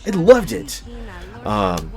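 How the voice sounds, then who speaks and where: Deep-voiced man telling a rambling story. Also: wildly swooping pitch, slow pace. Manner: heavy casual swearing, exaggerated emphasis. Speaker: YouTube storyteller host. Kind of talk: casual rambling personal storytelling.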